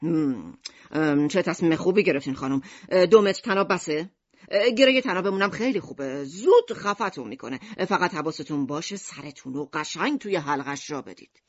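A woman voicing a gloomy, dismissive shopkeeper father in an audiobook, deadpan with hesitant hums. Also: deep voice, breathy edge, no echo, lo-fi sound. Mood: deadpan salesman.

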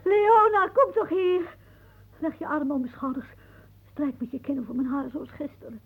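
Plaintive, frail old aunt, muffled. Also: female voice, high-pitched, animated, moderate pace. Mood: anxious, pleading. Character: frail, anxious, possessive old aunt who cannot walk. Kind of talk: radio play acting. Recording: muffled, narrow-band old radio recording.

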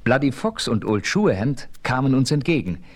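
A man playing a frontiersman hero who narrates his adventure in a measured, authoritative way in a German radio play. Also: medium pitch, sing-song. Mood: narrating.

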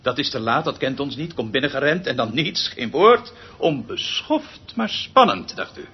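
An actor playing a pompous, eccentric male orchestra conductor in a radio drama, theatrical and pedantic, speaking deliberately with pregnant pauses. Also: medium pitch, big pitch swings, breathy edge, muffled recording, full character voice. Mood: lecturing, pedantic.

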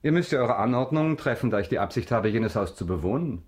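A man's voice: medium pitch, lively intonation, fast talker, a little mellow, husky. An actor playing a commanding aristocratic count in a German radio drama, polished, ironic and probing in his questions. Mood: cool, commanding.

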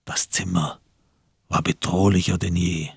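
A man with a husky, gravelly voice narrating a literary radio drama from a script. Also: deep voice, level tone, a little slow, no echo, clean studio sound.

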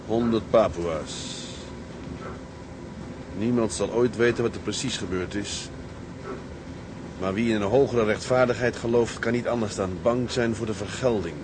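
An actor playing a righteous, solemn ship's captain with a terse way of talking in a maritime drama. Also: male voice, on the low side, fairly even intonation, moderate pace, pauses often, background noise, lightly acted. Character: grave, righteous ship's captain with moral conscience. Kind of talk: dramatic seafaring acting.

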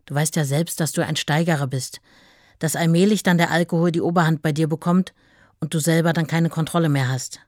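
Radio play casting: woman playing hurt but firm estranged wife, very low measured voice, close and dry. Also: darkish tone, no echo, natural voice. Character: deeply hurt, resolute estranged wife writing to her husband. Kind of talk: radio drama letter reading.

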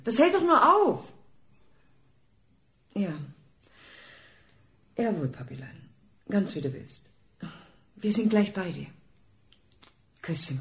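An actress playing a cold-blooded daddy's girl villain in a radio crime drama, commanding and sweetly cooing. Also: medium pitch.

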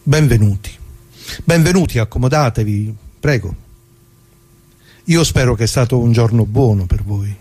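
An actor playing an elderly working-class Italian former bricklayer in a radio drama monologue, plain-spoken, halting and folksy as he reminisces. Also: medium pitch, a little mellow, breathy edge, natural voice. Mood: humble, welcoming. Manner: folksy, halting, with rhetorical tags.

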